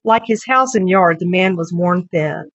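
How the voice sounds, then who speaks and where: A woman's voice: on the low side, measured pace, no echo. A woman writer reading her own literary short story as a narrator. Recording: dull, dry recording with music bed.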